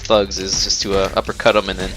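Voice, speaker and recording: A man with a low voice gives casual gameplay commentary. He is laid-back and swears offhand, with loud game music behind him. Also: monotone, fast talker. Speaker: gamer commentator. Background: video game music and audio.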